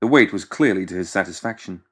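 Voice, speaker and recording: A man reading scripted fiction as an audiobook narrator, with expressive storytelling. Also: low-pitched, fast.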